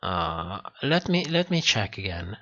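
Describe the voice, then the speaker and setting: A man's voice: sits low, very animated, unhurried, pushed and bright, dull audio. A man hosting a casual livestream, answering viewer comments in a rambling way and repeating phrases as he thinks aloud. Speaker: livestream host.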